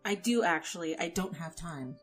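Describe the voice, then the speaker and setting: A woman's voice: on the low side, animated, normal speed, soft background music, natural voice. A woman playing a practical, blunt young woman in an audio drama, with a dry, matter-of-fact manner. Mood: dry, wry.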